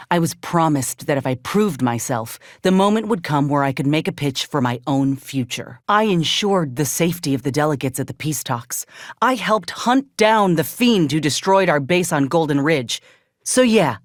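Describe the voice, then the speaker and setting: A woman's voice: low-pitched, no echo, studio quality. An actress playing a determined, scrappy soldier woman in a video game, with blunt, folksy frontier speech. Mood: proud, determined. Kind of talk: video game character dialogue.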